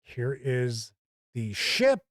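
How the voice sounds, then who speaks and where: Slow-talking man reviewing in a dry room. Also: mid-range voice, big pitch swings, fairly bright tone, no echo. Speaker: tabletop game reviewer. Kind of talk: casual unscripted product review.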